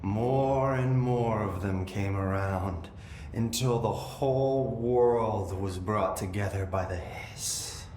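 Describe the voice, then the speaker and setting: An actor playing a possessed, eerily calm brother in a video game, telling things in a detached, dreamy and menacing way. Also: male voice. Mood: eerily serene. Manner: slow, detached, deliberate storytelling with lingering pauses. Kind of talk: dramatic video game acting. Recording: echoey room, noticeable low rumbling noise.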